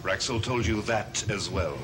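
A man's voice: lowish voice, unhurried, close to an everyday voice. An actor in his thirties playing a brooding, intense heir haunted by a family curse in a gothic television soap. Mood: troubled. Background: dramatic music.

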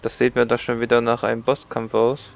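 A man's voice: mid-range voice, monotone, a little fast, gravelly. A man with a cold giving casual, chatty commentary while playing a game. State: has a cold. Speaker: let's play gamer.

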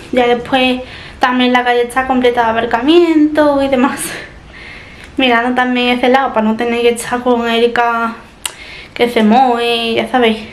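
Young woman rambling to the cam in her kitchen, bit high voice, faint hiss behind. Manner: chatty, rambling, narrating her chores. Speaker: family vlogger. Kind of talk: casual vlog chat to camera. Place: small home kitchen indoors.

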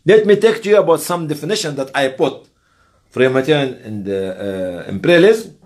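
A man in his sixties giving an explanatory lecture in emphatic, halting phrases, often posing rhetorical questions. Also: a little high, expressive, a little mellow. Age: 60s. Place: small office, indoors. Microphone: camera or phone on the desk, a short distance away.

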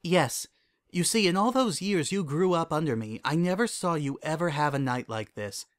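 Storybook pony princess man performing: female mentor, lifted pitch, calm, regal, kindly, unhurried authority. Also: close to an everyday voice. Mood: gentle, reflective. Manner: gentle, measured, regal. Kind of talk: storybook character dialogue.

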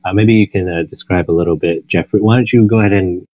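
Deep-voiced man on a muffled phone-like line. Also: steady tone, fast talker, no room sound. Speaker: podcast interviewer. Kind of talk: casual interview chat.

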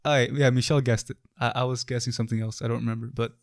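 A man's voice: mid-range voice, little pitch movement, racing. A young man from Italy hosting a casual podcast chat, laid-back, with loose fillers and frequent laughs. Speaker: podcast host.

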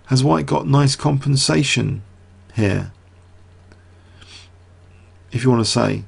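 Croaky man commentating on chess. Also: mid-range voice, takes it slowly. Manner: talks through moves, quizzes viewers. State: getting croaky. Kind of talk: live chess game commentary.

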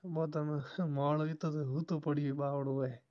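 The voice is a caller's, medium in pitch and flat in melody. The talk is casual and private, as on a phone call. The recording is dull and lo-fi. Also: a little slow.

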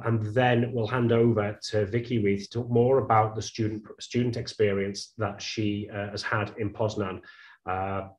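Chatty man hosting a video-call webinar. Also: fairly low pitch. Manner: chatty, loose, restarts sentences. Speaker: webinar host.

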